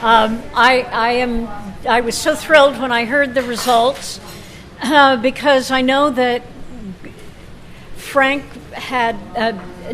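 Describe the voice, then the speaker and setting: A woman chairing a club meeting with informal, unscripted remarks, often halting and restarting her sentences. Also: fairly high pitch, fairly bright tone, a little hiss or hum.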